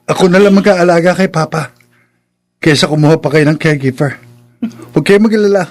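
A man's voice: on the high side, fairly quick, darkish tone, lightly acted. A man with a Filipino accent playing a humble, mistreated young son in a radio drama, earnest and pleading. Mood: earnest, respectful.

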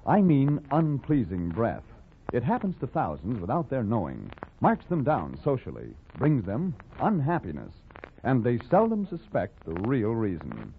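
Old time radio announcer guy selling hard, super animated, tinny with faint noise. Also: medium pitch, measured pace, creaky. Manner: emphatic, persuasive. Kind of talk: 1940s radio advertising pitch.

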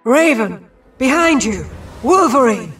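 A woman's voice: on the high side, very animated, takes it slowly, a bit bright, soft background music, heavily acted. A woman playing an urgent female seer who barks out warnings.

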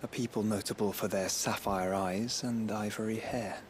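A man's voice: fairly low pitch, steady tone, normal speed, a little hiss or hum, close to an everyday voice. An actor in a video game cutscene playing a learned man who recites lore in a formal, precise, bookish manner. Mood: sceptical.

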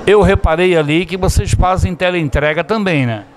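A man's voice: high voice, moderate pace, slight background noise. A middle-aged man hosting a TV show, enthusiastically interviewing and promoting to viewers from inside a restaurant on a handheld mic. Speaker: TV show host. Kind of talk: upbeat on-location interview. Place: indoor restaurant dining room. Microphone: handheld microphone.

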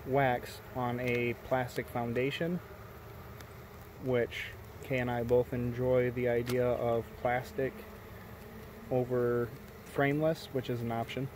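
Halting man explaining beekeeping outdoors. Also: mid-range voice, a little mellow, a little hiss or hum. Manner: halting, many uh and um fillers. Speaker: beekeeper, co-host. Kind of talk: casual explaining during a hive inspection. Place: outdoors at a backyard beehive. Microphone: handheld camera mic. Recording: outdoor take with faint bee buzz.

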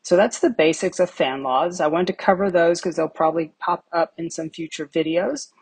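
Woman teaching, breathy close voice. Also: on the low side, moderate pace, a little mellow, no room sound. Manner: clear step-by-step explaining. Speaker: HVAC instructor. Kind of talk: whiteboard lesson narration.

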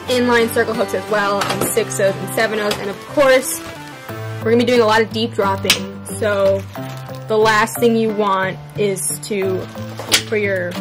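A young American woman hosting a vlog, talking casually and enthusiastically to the camera. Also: medium pitch. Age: young adult. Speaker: fishing vlog host. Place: in a car, outdoors and on a boat deck.

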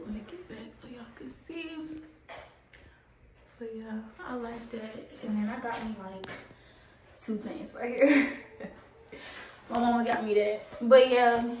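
A woman in her early twenties talks into a phone held at arm's length, chatty and slangy. Her voice sits a bit high, and she jumps into squeals when excited. A faint hiss runs underneath. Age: early 20s. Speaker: vlogger. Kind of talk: casual vlog chat to camera. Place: apartment rooms, then parked car and outdoor parking lot.

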